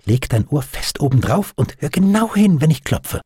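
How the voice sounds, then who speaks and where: A man voicing an eccentric, excitable naval doctor in an audiobook, with an eager, enthusiastic manner. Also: medium pitch, normal speed, breathy edge, dry recording, studio quality. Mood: delighted.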